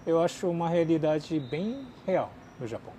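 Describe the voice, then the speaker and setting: A Japanese-born man speaking as a second language, casually answering viewer questions with lots of fillers, swinging from lively to quiet mumbling. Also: fairly high pitch, moderate pace, a little hiss or hum. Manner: chatty, many fillers, drifts between lively and mumbling. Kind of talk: casual chat. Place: outdoors in a city.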